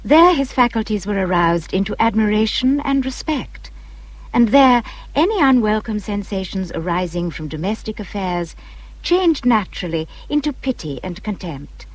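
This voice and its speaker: A woman with an English accent giving measured, scripted storytelling narration for a radio drama. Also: mid-range voice, lively intonation, rough recording.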